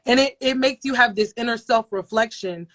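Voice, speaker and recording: A young adult woman, a radio personality, talking in a relaxed interview and telling her story with emphasis, often repeating phrases for effect. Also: a little high, normal speed. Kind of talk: casual interview conversation.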